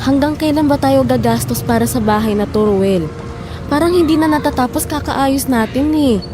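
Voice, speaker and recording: A woman's voice: sits high, moderate pace, music in the background, close to an everyday voice. A woman playing a weary, frustrated wife who worries over household money in a Filipino audio drama. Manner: complaining, pleading, emotive.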